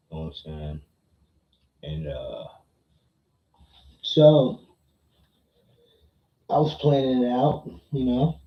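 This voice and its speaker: A man with a fairly low voice tells a casual story to his livestream chat. He takes his time, using slang and repeating phrases to drive points home. The sound is slightly dull, with clear room echo. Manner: slangy, repeats phrases for emphasis with frequent tag questions. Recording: muffled, roomy echo.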